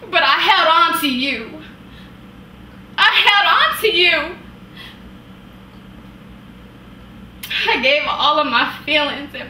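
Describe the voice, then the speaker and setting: A woman's voice: high voice, bright, forceful tone. A woman acting an anguished wife confronting her husband, in an impassioned, pleading stage monologue. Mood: pained, yearning. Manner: impassioned, pleading, emphatic with long pauses. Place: indoor workshop room, recorded from a distance. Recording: roomy, distant mic, some hiss.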